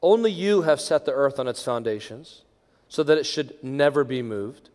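Praying pastor in a reverberant sanctuary. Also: male voice, mid-range voice, animated, moderate pace. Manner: swings from hushed near-whisper to strong raised proclamation. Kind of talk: pastoral prayer. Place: large church sanctuary. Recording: long church reverb.

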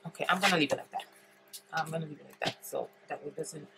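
Low-voiced congested woman demonstrating crafts. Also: fairly even intonation, fast talker, gravelly, noisy background. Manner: chatty, thinks aloud with many ums. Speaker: paper craft tutorial host. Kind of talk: relaxed step-by-step craft demonstration. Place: indoors at a craft table.

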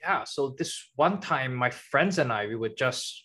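A man's voice: mid-range voice, lively intonation, sharp tone, husky. Young man answering plainly on a headset. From born in China, grew up in Germany. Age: young adult. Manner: plain, measured, matter-of-fact. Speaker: guest, journalist and author. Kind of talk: interview answers on a video call. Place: home room with a kitchen behind.

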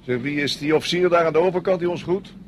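An actor playing a self-important senior officer in a radio drama, clipped and commanding. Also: male voice, on the high side, quick pace, slight background noise, natural voice. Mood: impatient.

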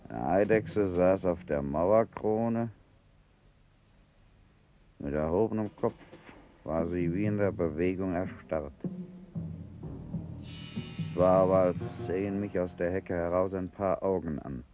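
Radio play: a low-voiced, sardonic sick seaman, bitter and world-weary, faint music behind. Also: slow pace, long pauses, mellow, dull audio. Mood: brooding, reminiscing. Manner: sardonic, brooding storyteller. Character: embittered sick sailor, a whisky-drinking leper, world-weary. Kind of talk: German radio play acting.

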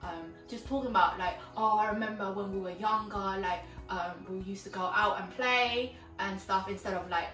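A woman's voice: medium pitch, moderate pace, hardly any pauses, a bit bright. A young woman from Vietnam co-hosting a casual chat, talking with lots of fillers like um and like. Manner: conversational, many fillers like "um" and "like". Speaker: co-host. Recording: roomy sound, mic at a distance, faint music.

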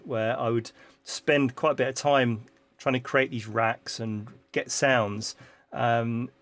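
Gravelly man talking shop in his studio. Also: mid-range voice. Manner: relaxed and explanatory, with frequent ums and restarts. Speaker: music producer hosting a live stream. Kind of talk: casual live-stream track breakdown. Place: home music studio with keyboard and computer. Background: faint synth music from the session.

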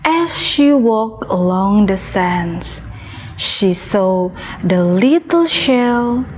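A woman narrating a scripted children's story as a puppet show storyteller, in a deliberate manner. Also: mid-range voice, lively intonation. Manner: slow, deliberate storytelling with repeated phrases drawn out.

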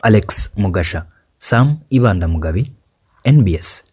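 A man with a Ugandan accent and a husky voice, reading a scripted television news report. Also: lowish voice, lively intonation, normal speed, a little mellow, a little hiss or hum.

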